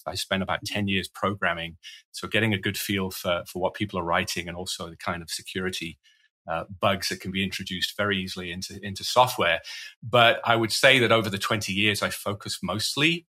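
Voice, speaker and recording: A middle-aged Welsh man with a fairly low voice and a bright, forceful edge. He talks casually as a podcast guest and trails off into self-deprecating asides. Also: steady tone, a little fast. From Wales. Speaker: guest, cybersecurity evangelist.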